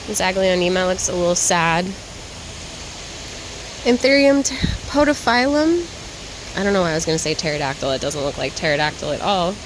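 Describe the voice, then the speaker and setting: A chatty woman talks through her walk around a garden center, naming plants and prices as she browses. She sounds worn out and slightly raspy from too little sleep. A steady hiss sits under her voice on the handheld recording. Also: lowish voice, brisk, pauses often. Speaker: plant vlogger. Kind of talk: casual walk-and-talk vlog. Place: garden center shop floor.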